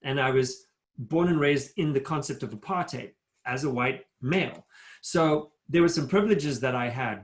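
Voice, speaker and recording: Middle-aged man, guest speaker on a video call, earnest and emphatic, slightly higher pitch. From South Africa. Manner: earnest, emphatic, builds points in lists. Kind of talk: motivational talk at a virtual town hall.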